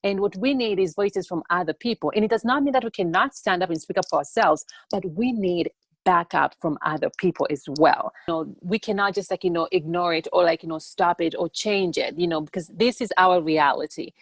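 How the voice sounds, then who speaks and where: A young woman from Tanzania answering interview questions on a video call in an earnest, halting way that often repeats words. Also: lowish voice, no room sound. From born in Tanzania. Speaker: interview guest, TikTok educator.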